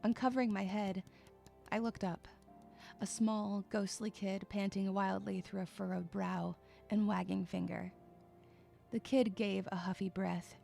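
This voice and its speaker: A troubled, irritable young loner , played by a woman, tells her story in the first person. The delivery is introspective and dramatic, with some creak in a mid-pitched voice. Faint music runs underneath. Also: little pitch movement, measured pace, warm tone. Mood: wary, irritated. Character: troubled, withdrawn, irritable young loner girl narrating inwardly.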